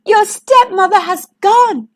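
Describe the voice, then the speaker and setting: A woman voicing a poor, soft-hearted woodcutter father in a children's fairy tale, earnest and emphatic. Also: very high voice, takes it slowly, pitched above the performer's own voice. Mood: relieved.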